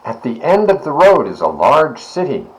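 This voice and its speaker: A man reading a children's storybook aloud in an expressive, deliberate manner. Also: mid-range voice, poor audio. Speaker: volunteer story reader, library board member.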